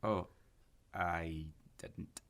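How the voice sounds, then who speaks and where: Guy playing a deep voiced widower on an awkward date, stammery and defensive, natural audio drama talk. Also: steady tone, extremely slow, fairly bright tone, full character voice. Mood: subdued. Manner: hesitant, stammering, self-justifying. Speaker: actor in a sci-fi audio drama.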